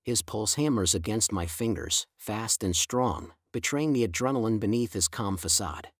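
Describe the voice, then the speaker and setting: A man reading a first-person audiobook in a measured, brooding storytelling manner. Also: lowish voice, lively intonation, no echo, studio quality. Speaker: audiobook narrator. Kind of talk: scripted first-person narration.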